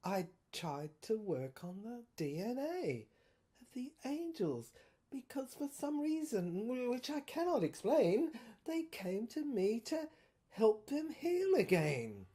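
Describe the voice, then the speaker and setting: A woman playing an obsessive, haunted inventor shut away by soldiers in an audio drama monologue, speaking in a halting, rambling, theatrical way with repeated words. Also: a little high, sing-song, dark tone, exaggerated voice. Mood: confiding, urgent.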